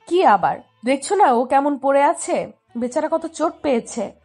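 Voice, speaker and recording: Casting: woman to play stubborn, lovestruck little sister, high voice, petulant and defiant, romance audio story. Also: expressive, normal speed, a little mellow, faint music behind. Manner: pouting, defiant.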